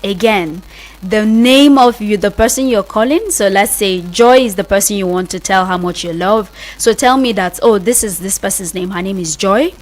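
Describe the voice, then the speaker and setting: A Nigerian woman hosts a live radio show from the studio in a chatty, informal style. She talks directly to listeners at an average pitch, walking them through things step by step and repeating points for emphasis. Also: moderate pace, poor audio. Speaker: radio host.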